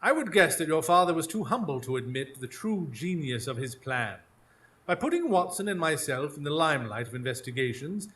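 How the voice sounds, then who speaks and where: A man's voice: a little high, fairly bright tone, a little hiss or hum. A man playing a clever, self-assured detective in a radio drama, speaking in a precise, formal, haughty manner. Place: small theatre stage, recorded live in front of an audience.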